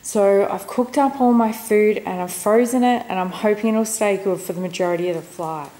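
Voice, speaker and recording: A woman's voice: mid-range voice, normal speed, dark tone. A young adult woman with an Australian accent, chatting casually to camera as a travel vlogger. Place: airports, plane cabin and moving taxi. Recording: noisy airport and vehicle background.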